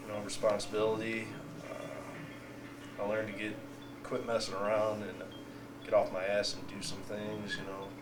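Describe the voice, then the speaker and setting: Young man, low monotone, plain talker, echoey noisy room. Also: moderate pace, recorded from a distance. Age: young adult. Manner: plain-spoken, many "you know"s. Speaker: college football player. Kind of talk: casual interview answers. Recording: echoey room, off-mic, ambient noise.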